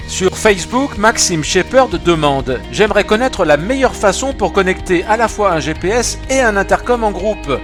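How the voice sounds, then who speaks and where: A man with a high voice reads viewer questions from a script. Music plays clearly behind him as he announces each one. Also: animated, moderate pace, hardly any pauses. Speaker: question reader for a web show.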